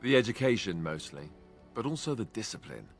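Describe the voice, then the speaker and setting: A man's voice: lowish voice, sing-song, a little fast, faint music behind. A man acting a self-deprecating young ex-Templar warrior in a fantasy video game, wry and earnest with a lightly theatrical delivery. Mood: earnest, reflective. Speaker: video game companion character. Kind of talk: scripted fantasy game dialogue.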